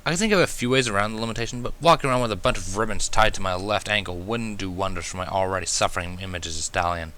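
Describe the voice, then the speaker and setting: A man reading fan fiction aloud as an expressive first-person narrator, with animated, self-mocking asides. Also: lowish voice, fast talker, few pauses.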